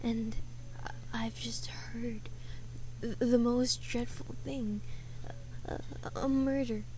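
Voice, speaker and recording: Woman playing anxious housewife, highish voice, fretful, demanding, radio drama, noticeable background noise. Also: steady tone, a little mellow, poor audio. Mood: shaken. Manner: fretful, imperious, halting when shocked. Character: nervous, demanding, sickly housewife alone at home. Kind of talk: radio play acting.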